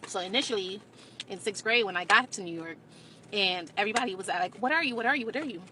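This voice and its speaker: A woman speaks candidly about her own life in a mid-pitched voice. She is blunt and puts firm emphasis on her points. A faint noise sits behind her. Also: fast talker, bright, forceful tone, rough recording. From Caribbean. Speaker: video creator. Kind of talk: candid personal storytelling to camera.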